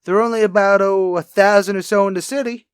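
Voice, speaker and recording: A man playing a wry, chatty police lab technician in a radio crime drama, brisk and casual. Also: high-pitched, steady tone, smooth, no room sound, studio quality, natural voice. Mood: sarcastic. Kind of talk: old-time radio crime drama acting.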